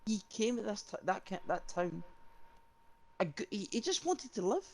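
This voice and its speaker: A man's voice: high-pitched, very animated, normal speed, creaky, darkish tone. A young Scottish man, a game player, arguing confrontationally and firing repeated questions at the other player. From Scotland. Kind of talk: heated in-character argument.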